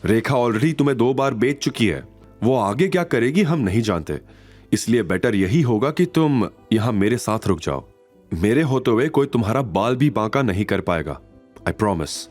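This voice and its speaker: Narrator guy as a smitten young man, protective n coaxing, animated mid voice, music behind. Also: mid-range voice, moderate pace, close to an everyday voice. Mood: protective, reassuring.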